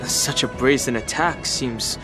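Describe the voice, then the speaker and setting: A man's voice: mid-range voice, unhurried, natural voice, low-quality recording. A man voicing a thoughtful, skeptical traveler in a video game, with a composed, analytical delivery. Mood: pensive. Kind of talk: English video game voice acting. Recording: music behind the voice. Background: game music.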